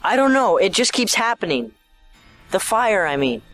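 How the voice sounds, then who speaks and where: A troubled schoolboy, voiced by a performer in an audio drama. He is scared and pleading, and his pitch jumps around over background music. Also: big pitch swings, moderate pace, a bit bright. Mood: scared, confessing.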